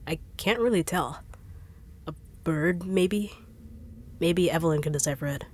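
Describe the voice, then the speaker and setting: A woman's voice: on the low side, a little slow, a little mellow. A woman voice actor performing a no-nonsense character in an acted audio roleplay, blunt and sarcastic.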